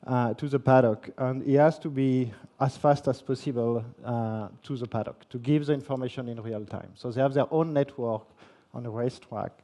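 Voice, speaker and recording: French man, conference speaker, mellow low-toned voice, halting delivery with fillers. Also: steady tone. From France. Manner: halting, many fillers and restarts. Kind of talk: technical conference presentation. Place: conference hall.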